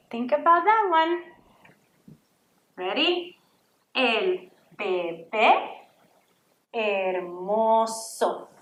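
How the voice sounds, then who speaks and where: Puerto Rican woman teaching kids, fairly high voice, unhurried, repeats sounds for the class, echoey room. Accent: Puerto Rican Spanish. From Puerto Rico. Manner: models sounds loudly and slowly, asks students to repeat. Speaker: Spanish teacher. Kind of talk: slow, encouraging classroom lesson for children.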